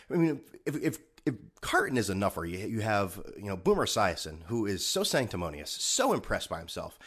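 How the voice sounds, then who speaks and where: A low-voiced man with a lively, swinging delivery and a slightly stuffed-up nose. He hosts a solo podcast and rants in a sarcastic, rambling way. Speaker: podcast host.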